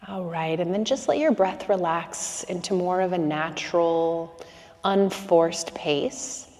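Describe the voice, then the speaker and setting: Calm woman breath coach, slightly low voice, soothing and unhurried, reverberant space. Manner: slow, soothing, calm instructions with long pauses. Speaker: breathwork instructor. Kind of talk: guided breathing meditation.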